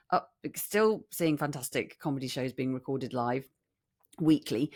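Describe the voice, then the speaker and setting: Low-voiced British woman co-hosting a podcast. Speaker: podcast co-host. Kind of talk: casual chat.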